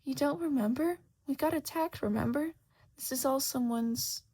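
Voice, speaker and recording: A woman's voice: high-pitched, normal speed, voice pushed up, close to an everyday voice. A woman voicing an anxious young storybook Duchess, by turns prim and urgent. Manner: clingy, switches between prim loud and urgent hushed.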